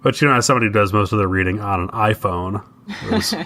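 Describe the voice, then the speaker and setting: A man's voice: lowish voice, fast, sharp tone, slight background noise. A man co-hosting a podcast, explaining things in casual conversation. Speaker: podcast co-host.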